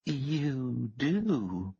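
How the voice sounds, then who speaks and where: A man's voice: mid-range voice, very animated, drawn-out words, gravelly, mellow, slightly rough, dry recording, dull audio, pitched above the performer's own voice, heavily acted. A man voicing a wild-eyed, simpering woman with a thick Southern US drawl.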